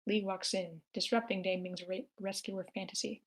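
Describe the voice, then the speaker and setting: A woman's voice: medium pitch, level tone, normal speed. A woman narrator reading screenplay stage directions aloud.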